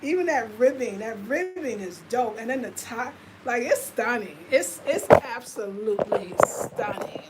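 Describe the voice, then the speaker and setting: Chatty woman in her fifties, high voice. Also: animated, unhurried, few pauses, heavy background noise. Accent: American. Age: 50s. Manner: chatty, confiding, lots of fillers. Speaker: lifestyle vlogger. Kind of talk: casual livestream chat.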